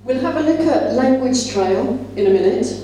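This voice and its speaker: A very echoey, distant recording of a woman presenting to teachers in a large room, with faint noise behind her. Her voice is soft-edged and mellow. She explains in a conversational, instructive way, as a plenary speaker at a conference. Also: fairly high pitch, lively intonation, normal speed. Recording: echoey hall, distant mic, faint hum.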